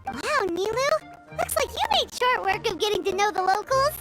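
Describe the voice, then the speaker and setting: A woman voicing a small, excitable fairy companion in a video game, with a squeaky childlike voice and exclamatory manner. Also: normal speed. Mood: impressed. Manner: exclamatory, talks in third person. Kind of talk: animated video game dialogue. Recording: music behind the voice.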